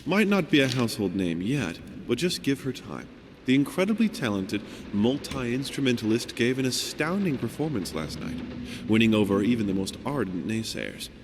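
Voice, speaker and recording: A man voicing a formal newspaper reporter in a horror game, narrating articles in a measured, theatrical way. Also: medium pitch, sing-song, natural voice. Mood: grandiose reporting. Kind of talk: scripted dramatic news-style narration. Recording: long hall reverb, faint background noise.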